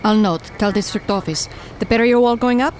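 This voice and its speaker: An actress playing a brisk, official night patrol agent of a resistance in a naturalistic radio drama. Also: female voice, on the high side, a little mellow, a little hiss or hum, close to an everyday voice. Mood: clipped, official.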